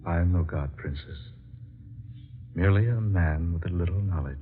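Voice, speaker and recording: A man's voice: low-pitched, normal speed, close to an everyday voice. A man acting an earnest, dignified scientist with formal, theatrical phrasing in an old-time radio drama. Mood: solemn. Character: earnest, dignified male scientist doctor, hero in love. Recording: muffled, noisy old radio recording.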